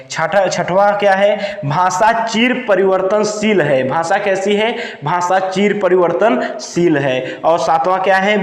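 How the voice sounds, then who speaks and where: A man teaching an exam-prep lesson point by point, repeating lines and answering his own questions. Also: sits high. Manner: repetitive, asks questions and answers them himself. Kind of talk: lesson explained point by point for note-taking. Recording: echoey, reverberant room.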